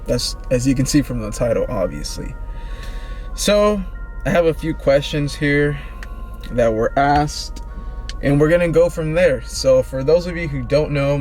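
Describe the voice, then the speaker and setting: A man in his twenties, vlogging in a relaxed, rambling, slangy way, answering questions about himself. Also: medium pitch. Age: 20s. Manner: laid-back, rambling, slangy. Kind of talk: casual get-to-know-me chat.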